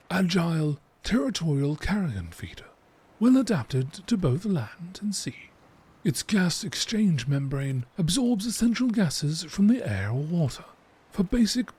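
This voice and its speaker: A man reading scripted narration in a measured, deliberate nature documentary style. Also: fairly high pitch, a little mellow. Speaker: narrator reading game lore. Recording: clearly noisy recording.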